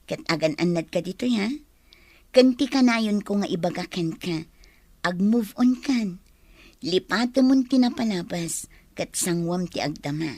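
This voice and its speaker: A woman's voice: fairly low pitch, lively intonation, unhurried, a little mellow, no room sound. A woman acting a consoling Ilocano friend, dry sound. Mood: gentle, apologetic. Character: consoling female friend, longing to go home. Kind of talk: Ilocano radio drama acting.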